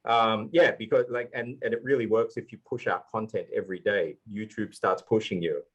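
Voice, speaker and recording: A man's voice: lowish voice, fairly even intonation, normal speed, slightly rough, lo-fi sound. A man speaking on a live conference panel, earnestly explaining his ideas with many fillers. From Australia. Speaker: panelist, language YouTuber.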